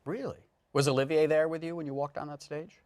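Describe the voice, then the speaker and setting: A man's voice: on the high side, steady tone, quick pace, a little mellow, dry recording. A man interviewing guests casually as the host of a studio talk show. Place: television studio.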